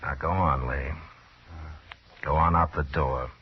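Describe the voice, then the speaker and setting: An actor playing a stern, unflinching frontier marshal in an American radio western, terse and deliberate. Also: male voice, a bass voice, steady tone, breathy edge, full character voice. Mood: cold menace. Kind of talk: old-time radio western acting and narration. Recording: dull, lo-fi old radio recording.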